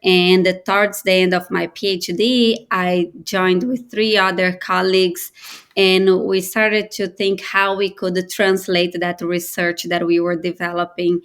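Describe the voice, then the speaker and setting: A woman in her thirties from Brazil, with a Brazilian accent, giving earnest, measured answers as a guest in an interview. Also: lowish voice, little pitch movement, fairly bright tone, clear, resonant tone. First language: Portuguese. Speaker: guest, biotech founder.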